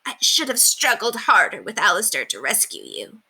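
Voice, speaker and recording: A woman's voice: mid-range voice, wildly swooping pitch, normal speed, bright, forceful tone, breathy edge, pitched above the performer's own voice, exaggerated voice, rough recording. A woman voicing a wizened old woman, tender and weighed down by guilt, in a storybook reading. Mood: tearful relief.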